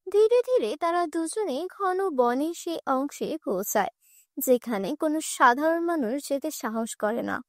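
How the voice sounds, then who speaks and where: A woman's voice: sits high, lively intonation, moderate pace, no echo, clean studio sound. A woman storyteller giving scripted fairy-tale narration for a children's cartoon.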